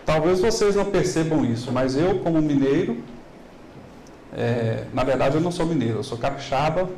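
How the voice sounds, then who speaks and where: A middle-aged man with a Minas Gerais accent giving a lively business talk and asking the audience rhetorical questions. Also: on the high side, moderate pace, echoey room, a little hiss or hum, poor audio. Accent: Minas Gerais Brazilian Portuguese. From Minas Gerais, Brazil. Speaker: business lecturer. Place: large hall.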